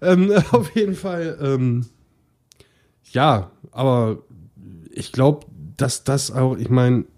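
A man's voice: mid-range voice, slow pace, long pauses, a little mellow. A man from the Ruhr area with a Ruhr German accent, chatting casually and joking with many fillers. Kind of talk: casual chat.

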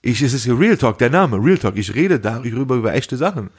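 A man's voice: mid-range voice, sing-song, brisk, breathy edge. A young man co-hosting a podcast in casual, slangy chat, telling stories animatedly and acting out the dialogue. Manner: slangy, acts out dialogue in anecdotes. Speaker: podcast host.

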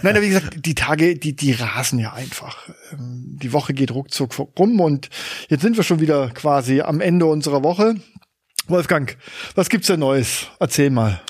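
A man's voice: on the high side, lively intonation, normal speed, husky, dry recording, clean studio sound. A man co-hosting a casual podcast, joking and laughing often as he talks. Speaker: podcast co-host. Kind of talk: casual chat. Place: Berlin.

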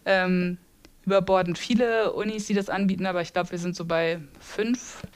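Eine Frau aus Norddeutschland um die dreißig, eine Bibliothekarin, die locker und mit vielen Füllwörtern im Podcast erzählt. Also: female voice, lowish voice, fairly even intonation, normal speed. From northern Germany. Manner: hesitant, many fillers. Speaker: librarian. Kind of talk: casual podcast chat.

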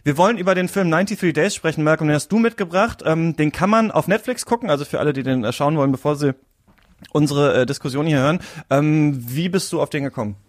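A man hosting a casual talk show who talks very quickly and moves briskly from topic to topic. His voice sits somewhat high, and the recording is dry and close. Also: no room sound. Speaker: podcast host. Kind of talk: casual chat.

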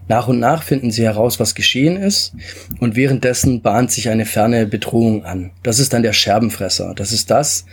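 A man from Italy, a game designer and interview guest, calmly explaining his game with frequent filler sounds. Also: fairly low pitch, slightly rough.

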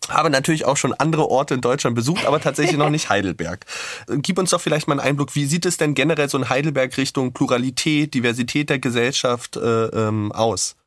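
A man's voice: medium pitch, fast talker, hardly any pauses, fairly bright tone, no room sound. Male interview host, close dry sound. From Berlin, Germany. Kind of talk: conversational interviewing.

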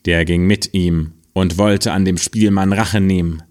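Slow, expressive low male storyteller. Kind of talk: fairy tale reading.

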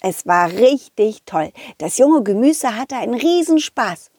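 A cheerful, trusting dog played by a woman tells a children's story. The voice is high and very animated, with unhurried pacing and a close, dry sound. The manner is chatty and childlike, speaking straight to young listeners. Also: slightly rough, no room sound, heavily acted. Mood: excited storytelling. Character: cheerful, trusting male dog telling his adventures to children. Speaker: storytelling puppet character, host. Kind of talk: lively children's audio story narration.

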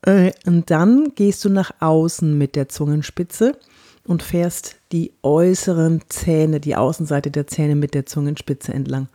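A woman from Franconia with a light Bavarian lilt, an actress and body-language coach giving a chatty podcast lesson on clear speech and slowly guiding mouth exercises. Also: low-pitched, dark tone. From Franconia, Germany. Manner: guides exercises slowly and deliberately; chatty and personal.

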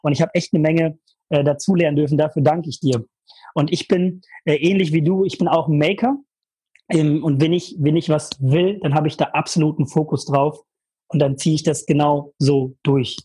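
A young man telling a lively personal story in a casual interview, full of fillers and emphasis. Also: a little high, normal speed, breathy edge. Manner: energetic, animated storytelling with many fillers. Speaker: guest, former mastermind participant.

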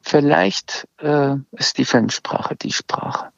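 A middle-aged man with a heavily gravelly voice, speaking slowly and hesitantly, often restarting his sentences. His is Azerbaijani. The recording is dry and slightly dull. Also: fairly high pitch, no echo. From Iran. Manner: hesitant, many restarts and fillers. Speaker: interview guest. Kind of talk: casual conversation.

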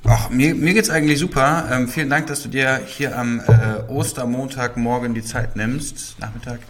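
A man's voice: medium pitch, steady tone, normal speed, a little hiss or hum. Male podcast host, friendly and conversational. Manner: friendly, asks questions with fillers. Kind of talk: podcast interview.

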